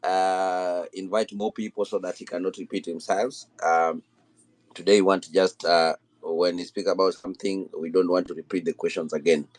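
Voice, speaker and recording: A man's voice: low voice, flat delivery, normal speed. A man hosting a live audio discussion, talking in an upbeat, repetitive way with many fillers. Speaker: host.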